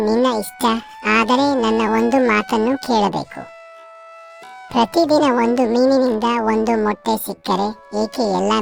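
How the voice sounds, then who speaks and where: A woman's voice: a little high, gravelly. A woman voicing a greedy village wife in a cartoon moral story, speaking insistently.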